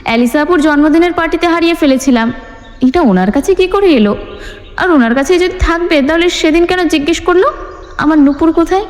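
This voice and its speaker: A woman's voice: very high voice, fairly quick, voice pushed up. A woman voicing a naive, indignant, tearful young woman in a dramatised story, in a plaintive questioning way. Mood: puzzled surprise. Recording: long hall reverb with music bed.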